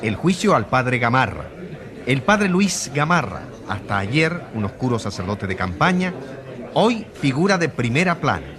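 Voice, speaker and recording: A man playing a grave radio reporter in a drama, narrating with a husky voice and declamatory, rhetorical delivery. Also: mid-range voice, very animated, normal speed, crowd noise, lightly acted. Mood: grave, dramatic. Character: grave male radio reporter covering a controversial trial.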